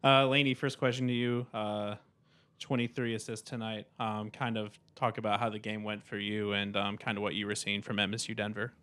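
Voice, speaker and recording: A man asks the questions at a press conference, hesitating with frequent "um"s. His voice stays flat and even. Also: lowish voice. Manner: halting, many "um"s.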